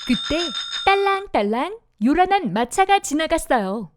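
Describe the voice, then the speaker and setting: A woman narrating a scripted fairy tale for young children in an expressive storytelling manner. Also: very high-pitched, unhurried, no room sound, studio quality.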